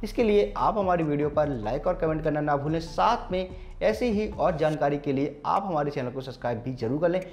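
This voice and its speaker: Higher-pitched Indian man explaining steps. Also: expressive, fairly quick, faint music behind. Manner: instructional, step-by-step, addressing viewers formally. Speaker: tech channel host. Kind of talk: scripted-sounding phone tutorial narration.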